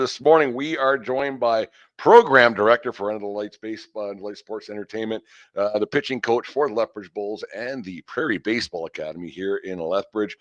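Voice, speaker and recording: A man's voice: mid-range voice, fairly bright tone. An older man hosting a casual sports podcast in a folksy, rambling, talkative way. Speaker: host of a baseball team podcast. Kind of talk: casual sports interview and chat. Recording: dull, band-limited sound.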